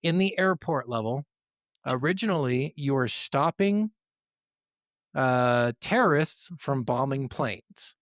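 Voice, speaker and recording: A man's voice: medium pitch, animated, slow, dry recording, clean studio sound. A man co-hosting a gaming podcast, speaking informally and given to swearing, partly working from notes. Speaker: podcast co-host.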